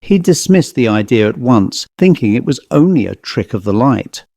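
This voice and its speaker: A man narrating a scripted story as an audiobook reader, in a measured, deliberate manner. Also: mid-range voice, sing-song, vocal fry, dark tone, dry recording.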